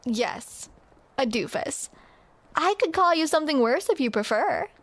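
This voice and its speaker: A woman's voice: sits high, lively intonation, a little slow, fairly bright tone. A young woman performing a scripted romantic roleplay to the listener, with playful, teasing banter.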